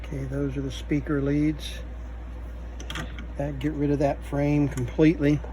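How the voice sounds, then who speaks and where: A man giving unscripted hands-on repair commentary, halting and thinking aloud as he works. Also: on the high side, little pitch movement, dark tone, rough recording. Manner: halting, muttering thoughts aloud while working. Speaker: vintage electronics repair video host. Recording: faint background noise.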